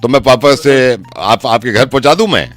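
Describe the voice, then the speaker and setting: A man on a prank phone call playing a chatty middle-aged uncle who rambles insistently with hesitant pauses. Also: medium pitch, a bit bright, faint music behind, natural voice.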